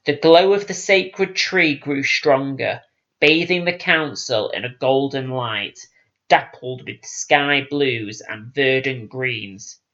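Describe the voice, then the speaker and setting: Slow, solemn male audiobook narrator. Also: mid-range voice, pushed and bright, lo-fi sound. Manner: solemn, dramatic. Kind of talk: scripted fantasy narration.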